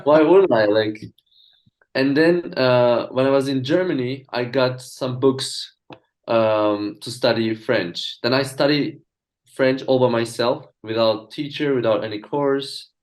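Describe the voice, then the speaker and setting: A young man from Turkey, a native speaker of Azerbaijani and Turkish, talking as an interview guest with hesitant pauses and fillers. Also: medium pitch. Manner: hesitant, many fillers and restarts. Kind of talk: casual video-call interview.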